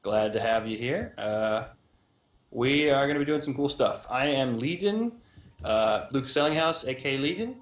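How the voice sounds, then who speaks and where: A man's voice: mid-range voice, animated, normal speed. Upbeat man opening a stream, echoey. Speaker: livestream host and game developer. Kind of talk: casual livestream chat. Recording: roomy echo.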